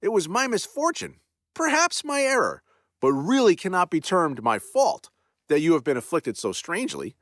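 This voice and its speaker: A man's voice: sits high, very animated, normal speed, fairly bright tone, no echo, clean studio sound, pitched above the performer's own voice. A man voicing an earnest, apologetic English lord. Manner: formal, elaborate old-fashioned speech.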